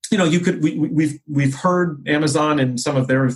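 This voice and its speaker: A man speaking as a podcast guest in a conversational business discussion, explaining ideas in measured, hesitant phrasing. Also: on the high side, breathy edge. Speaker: podcast guest, marketing executive.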